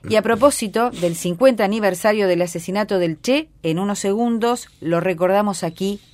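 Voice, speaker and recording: A woman, reading event notices and texts for a radio program in a scripted, even delivery. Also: mid-range voice, fairly quick. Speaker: radio announcer.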